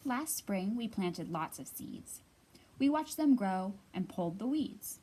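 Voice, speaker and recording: A woman librarian giving a storytime reading for young children, sing-song and expressive, pausing to ask the little listeners questions. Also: mid-range voice, husky.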